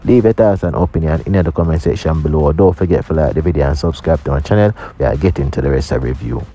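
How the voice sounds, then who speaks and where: A man's voice: very deep voice, fast talker, warm tone, slightly rough. A Jamaican man closing a video by urging viewers to comment, like and subscribe. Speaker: YouTube reviewer. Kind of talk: video outro addressing viewers.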